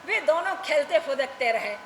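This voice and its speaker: A woman stage performer delivering a theatrical, expressive first-person story narration to a hall. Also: high voice, normal speed. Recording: echoey hall, noisy distant stage recording.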